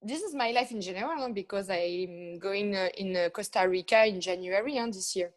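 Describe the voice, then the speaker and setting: A woman with a French accent, not a native English speaker, answering interview questions in halting English with many pauses and fillers. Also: medium pitch. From France. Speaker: guest, motorcycle racer. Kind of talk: casual interview chat.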